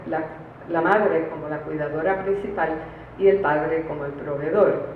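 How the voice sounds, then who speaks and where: Female academic speaker, measured formal delivery from a written paper, very roomy and phone-like sound. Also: on the low side. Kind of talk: formal academic lecture read from a prepared paper. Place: conference room, recorded from a distance. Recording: distant, very echoey room, phone-like, faint noise.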